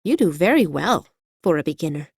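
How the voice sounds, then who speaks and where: A woman's voice: deep voice, sing-song, brisk, creaky, a little mellow, breathy edge, no echo, clean studio sound, full character voice. A woman voicing a polite, curious little girl adrift at sea in a storybook reading. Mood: polite wonder. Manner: polite and wondering.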